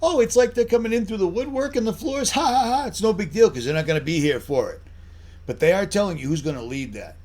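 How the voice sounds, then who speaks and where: A man's voice: high voice, animated, fast talker, a little mellow. A middle-aged American man giving an off-the-cuff solo commentary, blunt and insistent, often putting rhetorical questions to the listener. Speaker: online channel host.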